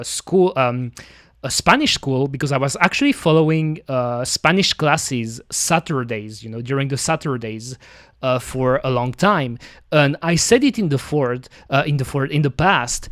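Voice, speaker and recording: Lively Belgian-French-accented man chatting slowly. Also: on the high side, no room sound, clean studio sound. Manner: rambling, many fillers. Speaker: streamer. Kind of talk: casual chat.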